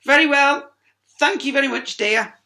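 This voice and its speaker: A woman's voice: high-pitched, moderate pace, vocal fry, bright, forceful tone, voice pushed up, full character voice. A woman voicing a fussy, polite porcupine in a children's storybook, speaking in a prim, emphatic way.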